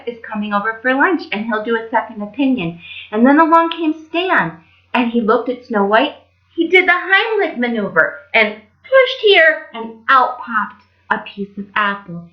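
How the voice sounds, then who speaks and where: A woman tells children's stories in a casual, friendly-paced library style. Her voice sits fairly high and moves around a lot. The recording is slightly dull. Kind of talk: animated children's storytelling, casual.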